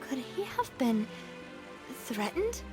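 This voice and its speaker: A young woman in charge in a game story. The voice is high and lively, polite and self-assured, with music underneath. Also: slow, heavily acted. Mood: thoughtful. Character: confident, friendly young female leader of an organisation. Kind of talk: video game dialogue acting.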